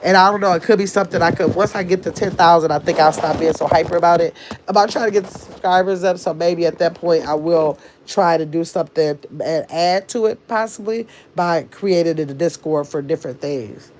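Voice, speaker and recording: A woman's voice: lowish voice, a little fast, background noise. A woman, hosting a casual livestream and chatting, teasing and reading comments. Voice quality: nasal. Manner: chatty, teasing, scolds trolls. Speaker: livestream host.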